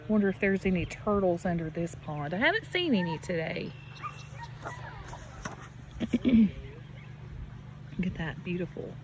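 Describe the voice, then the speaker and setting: A woman reading aloud to young children as a teacher, instructive and addressing them directly. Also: lowish voice. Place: outdoors by a farm pond. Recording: outdoor recording with background nature noise. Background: running creek, animal sounds.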